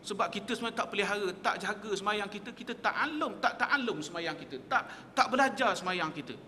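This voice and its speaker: A man's voice: sits high, a little fast, sharp tone, slight background noise. Male religious teacher, lecturing in a small mosque hall with a long reverb; a tense, pushing delivery. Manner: emphatic, rhetorical questions, quotes Arabic texts.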